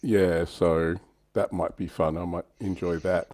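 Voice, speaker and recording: A man's voice: sits low, level tone, a little slow, a little mellow, dry recording, clean studio sound. A man hosting a livestream, chatting casually and fielding questions from viewers.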